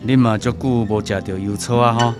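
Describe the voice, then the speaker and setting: An actor with a Taiwanese accent playing a worn, sickly coal miner father, gruff but caring, halting and plain-spoken. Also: mid-range voice, steady tone, over music, close to an everyday voice. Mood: gruff, tender.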